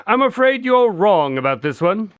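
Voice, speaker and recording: A man acting an easily exasperated detective's sidekick in a modern radio drama, with an expressive, indignant manner. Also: sits high, normal speed, clear, resonant tone, no echo. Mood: doubtful, insistent.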